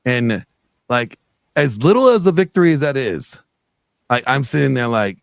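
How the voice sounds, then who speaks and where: A man's voice: mid-range voice, big pitch swings, normal speed, vocal fry, dry recording, studio quality. A middle-aged man speaking earnestly as a guest on a video call interview, rambling with many fillers. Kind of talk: casual interview chat.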